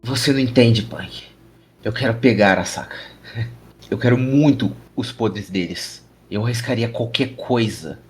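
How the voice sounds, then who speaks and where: A man's voice: medium pitch, natural voice. A man with a Brazilian Portuguese accent playing a menacing, obsessed war-veteran journalist in an audio drama. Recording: music under the voice.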